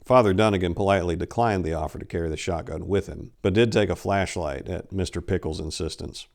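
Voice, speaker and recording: A man in his forties giving a theatrical, deliberate dramatic reading of a horror story, with emphatic swells and hushed drops. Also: low-pitched, gravelly. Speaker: author reading a short story. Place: indoor room lined with bookshelves. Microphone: lapel mic.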